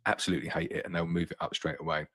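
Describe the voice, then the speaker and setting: A man's voice: rumbling low, level tone, rapid-fire. A young man hosting a casual live-stream Q&A and answering viewers' questions, his throat a little tired from a long day of talking. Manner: reads viewer comments aloud in a brighter voice, then answers plainly.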